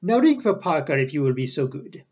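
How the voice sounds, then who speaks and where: A man reading an audiobook, voicing a dapper, excitable foreign detective with emphatic, courteous, quaint phrasing. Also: fairly high pitch, brisk, slightly rough. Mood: courteous, scheming. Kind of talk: audiobook character dialogue.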